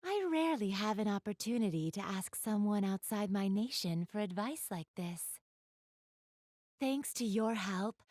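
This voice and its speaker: A woman's voice: mid-range voice, darkish tone, no echo, studio quality. A woman playing an elegant, polite young goddess in a video game, speaking to the listener in formal, ladylike phrases. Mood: relieved, gracious. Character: elegant, refined young goddess with gamer enthusiasm. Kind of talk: video game character dialogue, addressing the listener.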